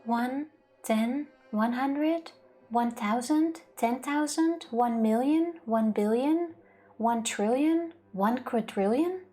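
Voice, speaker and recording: A young Dutch woman co-hosting a game stream, bantering quickly and laughing often. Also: on the high side, mellow, soft background music. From Netherlands. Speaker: let's play co-host. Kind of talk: casual gaming stream chat.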